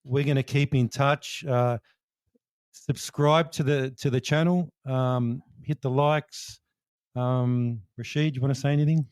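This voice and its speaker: A man's voice: medium pitch, fairly even intonation, a little slow, a little mellow, smooth, no echo. A man co-hosting a podcast interview, joking and rambling with fillers as he wraps up. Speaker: podcast co-host. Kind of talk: casual interview chat.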